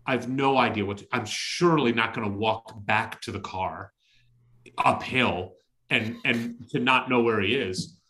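A middle-aged man with a loud voice, hosting a podcast and telling an anecdote in casual chat, exclaiming and acting out the dialogue as he goes. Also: mid-range voice, a little slow. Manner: exclamatory, acts out dialogue in his stories. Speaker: podcast host.

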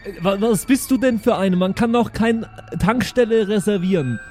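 A man's voice: sits high, moderate pace, a little mellow, faint music behind, lightly acted. A man playing a cocky young spaceship crewman in an improvised audio play, slangy, with a verbal tic tagged onto sentences. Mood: cheeky, slangy.

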